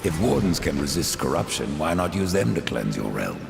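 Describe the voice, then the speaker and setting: Sardonic grim reaper rider for a game, extremely low gravel, dry and terse, cavernous reverb with music. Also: male voice, big pitch swings, moderate pace, full character voice. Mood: grim, questioning. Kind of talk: video game character acting. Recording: long reverb, music behind.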